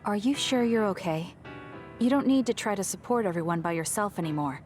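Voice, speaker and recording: A woman's voice: medium pitch, expressive, brisk, darkish tone, natural voice. Woman voicing a cool, frank young female soldier. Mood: concerned. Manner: blunt, composed. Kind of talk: video game voice acting. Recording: music behind the voice.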